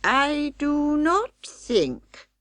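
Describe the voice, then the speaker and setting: A woman's voice: high voice, sing-song, drawn-out words, fairly bright tone, no echo, voice pushed up, heavily acted. A woman voicing a pompous, fussy old male rat in a storybook, ponderous, formal and disapproving. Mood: grumbling, doubtful. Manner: pompous, long-winded formal speech. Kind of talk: storybook character reading.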